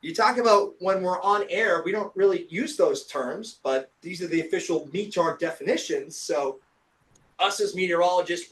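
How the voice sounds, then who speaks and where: A young man walks an audience through forecasting with an energetic, explanatory manner. His voice is pitched high and sounds a little hoarse. He talks casually, with everyday fillers. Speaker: TV meteorologist giving a talk. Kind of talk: presentation lecture.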